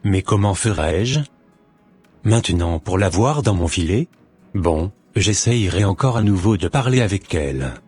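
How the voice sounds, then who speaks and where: A man's voice: deep voice, lively intonation, a little slow, a little mellow, breathy edge, faint music behind, heavily acted. A man voicing a teenage boy who persistently courts a girl, coaxing and insistent. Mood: musing.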